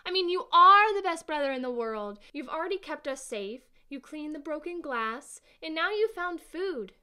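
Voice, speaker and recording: Woman playing young girl, very bright forward tone, high pitch, sensitive, excitable, childlike, family audio drama. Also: moderate pace. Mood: excited, pleading. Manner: gushing, childlike, openly emotional.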